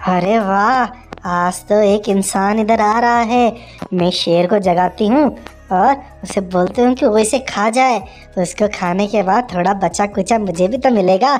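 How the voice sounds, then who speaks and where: A woman's voice: medium pitch, a little slow, darkish tone, faint music behind, natural voice. A woman voicing a cunning, selfish female fox in a cartoon, speaking in a sly, wheedling way. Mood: scheming.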